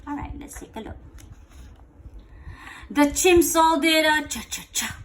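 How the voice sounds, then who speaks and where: A woman reading a picture book aloud to a child in a playful, expressive way, drawing out words and asking questions. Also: sits high, unhurried. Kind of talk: animated bedtime read-aloud with questions to a child.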